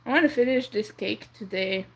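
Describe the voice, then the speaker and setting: A young woman whose first language is French, chatting softly and rambling on a crochet livestream. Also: a little high, moderate pace, slightly rough. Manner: soft-spoken, rambling, counts under her breath. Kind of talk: casual chat while crocheting.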